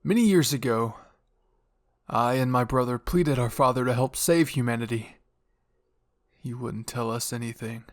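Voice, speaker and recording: Man acting a forceful, fanatical royal father. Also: mid-range voice, normal speed, long pauses, dry recording, studio quality, close to an everyday voice. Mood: fervent. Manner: forceful, grandiose, fervent. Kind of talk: dramatic fan fiction roleplay acting.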